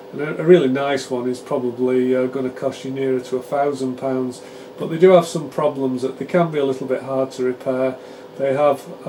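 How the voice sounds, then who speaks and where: A British man gives an informal hands-on technical explanation as a presenter, chatty and halting as he explains things. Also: mid-range voice, warm tone, a little hiss or hum, low-quality recording. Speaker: presenter demonstrating radio repair equipment.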